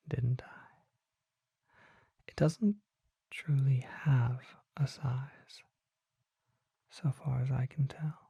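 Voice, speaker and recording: A performer voicing a mysterious, courtly vampire apothecary in a breathy, formal ASMR whisper, close to the microphone. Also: mid-range voice, dry recording, full character voice. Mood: gently cautioning, then mysterious, soothing. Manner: slow, formal, deliberate, hushed.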